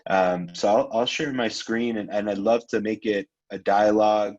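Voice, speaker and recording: A man from Iran giving a casual presentation on a video call, relaxed and loose, with frequent ums and restarts. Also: lowish voice, moderate pace, muffled recording. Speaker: guest speaker, venture capitalist.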